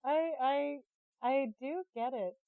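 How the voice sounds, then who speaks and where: A woman talking casually and candidly as an interviewed artist, chatty, expressive and a little self-deprecating. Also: sits high, takes it slowly, no room sound, phone-quality sound. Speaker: interviewed artist and creative director. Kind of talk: casual, candid podcast conversation.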